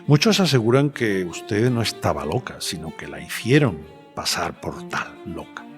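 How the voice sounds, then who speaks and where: A man playing a polite, probing journalist, interviewing in a measured, hesitant way for an audio drama. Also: on the low side, big pitch swings. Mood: measured, respectful. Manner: measured, hesitant, deliberate phrasing. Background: cello and violin music.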